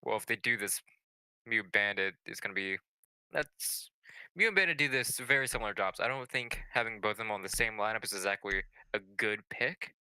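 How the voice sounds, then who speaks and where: A man's voice: fairly low pitch, brisk, bright, forceful tone, clear, resonant tone, no echo, rough recording. A man working as an esports analyst, giving conversational, opinionated commentary on a live video game match.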